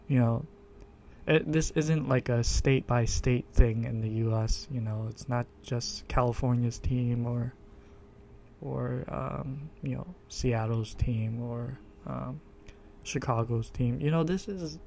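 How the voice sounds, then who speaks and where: Guy podcaster, low monotone voice, real slow and halting, lots of ums, desktop mic. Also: vocal fry, a little hiss or hum. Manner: hesitant, many fillers and restarts. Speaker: podcast host. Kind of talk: casual self-introduction monologue. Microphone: desktop USB microphone.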